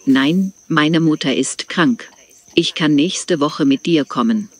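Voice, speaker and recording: A man reading his part in a scripted practice dialogue, deliberate and careful. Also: on the high side, a little hiss or hum.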